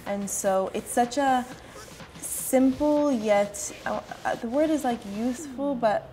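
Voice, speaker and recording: A woman's voice: fairly high pitch, slow pace, dark tone, soft background music, low-quality recording. A woman who grew up in Dubai, a fashion consultant answering interview questions with enthusiasm.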